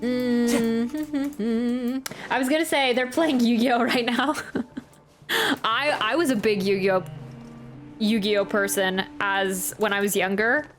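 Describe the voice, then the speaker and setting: A woman's voice: on the high side, fairly even intonation, slow pace, faint music behind. A woman giving casual gameplay commentary, chatty and rambling, often interrupting herself mid-sentence. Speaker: streamer reacting to a video game.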